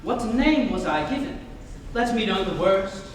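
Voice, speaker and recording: A young man playing an excitable, earnest Victorian gentleman in a theatrical reading of a stage comedy. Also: high voice, moderate pace. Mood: anxious. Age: young adult. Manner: theatrical, exclamatory. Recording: echoey hall from far off, noisy.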